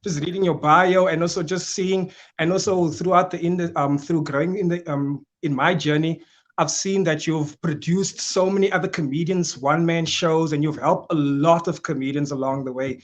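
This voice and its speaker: A South African man hosting a podcast, asking halting, rambling questions full of fillers. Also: fairly high pitch, fairly even intonation. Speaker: podcast host. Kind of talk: casual interview.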